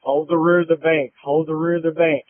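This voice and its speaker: Male cop on a narrow tinny radio, highish voice, urgent short orders, says things twice. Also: flat delivery, brisk, no room sound. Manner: urgent, terse commands, repeats himself. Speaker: police officer at an emergency scene. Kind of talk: urgent police radio traffic. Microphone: handheld police radio. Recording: tinny band-limited police radio.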